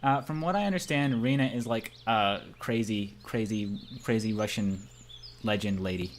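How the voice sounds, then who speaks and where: A man's voice: fairly low pitch, moderate pace, a little hiss or hum. A man reading a visual novel aloud as narrator and player, in a casual, playful, theatrical way.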